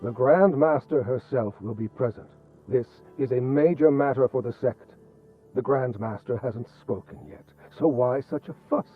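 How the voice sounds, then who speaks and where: A steady, loyal sect elder played by a man keeping order in a game drama. He is stern and mid-pitched. The audio is muffled, with faint music. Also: moderate pace, natural voice. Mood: reproving. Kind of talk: dramatic video game acting.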